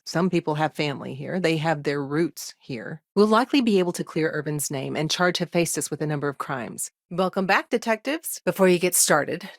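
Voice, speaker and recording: An actress playing a brisk, dry-witted lawyer in a space colony video game, speaking in a composed, articulate and wry manner. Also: female voice, sits low, no echo. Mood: polite, welcoming. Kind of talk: video game character dialogue.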